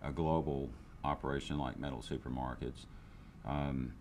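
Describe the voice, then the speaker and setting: Very deep-voiced older business owner. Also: male voice, steady tone, a little mellow, slight background noise. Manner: candid, measured, many restarts and fillers. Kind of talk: interview testimonial. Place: indoor room, seated interview. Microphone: lapel.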